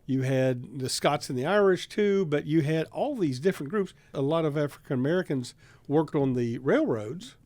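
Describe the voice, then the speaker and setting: A man's voice: on the high side, lively intonation, normal speed, darkish tone, no room sound. A man talking casually in a studio conversation, as a writer and public broadcaster introducing a radio drama.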